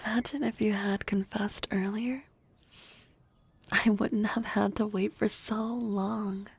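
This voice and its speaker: Woman playing shy but bold harpy classmate, asmr romance, soft whispery voice w some creak, halting n teasing, super close dry sound. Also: medium pitch, steady tone, no room sound, exaggerated voice. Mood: tender, teasing. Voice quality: soft, breathy, often whispering.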